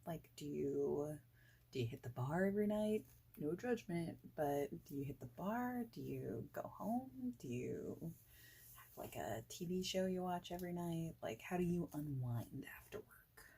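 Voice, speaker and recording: This is a woman speaking softly in an informal ASMR interview roleplay. Her voice is very breathy and fairly low, with a dark, relaxed tone. She talks in a casual, hesitant, chatty way and often slips into a whisper. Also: unhurried.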